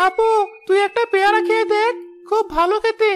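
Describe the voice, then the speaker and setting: Woman as a squeaky-high plaintive little boy. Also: level tone, brisk. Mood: eager. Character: hungry, plaintive little village boy, younger brother. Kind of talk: children's moral-story cartoon dubbing. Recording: faint music behind the voice.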